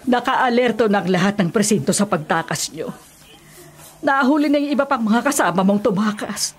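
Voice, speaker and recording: A woman's voice: fairly high pitch, fairly quick, husky. An actress with a Filipino accent playing a loving, imploring mother of a wayward grown son in a radio drama. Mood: earnest explaining. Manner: earnest, imploring.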